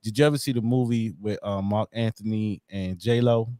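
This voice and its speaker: A man co-hosting a podcast in casual chat, easygoing and quick with short agreeing replies. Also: fairly low pitch, steady tone, warm tone. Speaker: podcast co-host.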